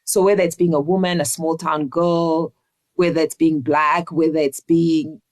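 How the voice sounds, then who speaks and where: A woman from Zimbabwe, speaking earnestly and deliberately as a reflective interview guest. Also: low voice, level tone, no room sound. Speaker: interview guest, leadership coach.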